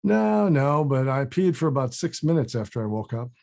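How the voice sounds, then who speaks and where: A man playing an anxious, sheepish actor with a husky voice in a comedy table read. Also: mid-range voice, very animated, normal speed, a little mellow. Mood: awkward, defensive. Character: anxious, awkward adult male actor, defensive and sheepish.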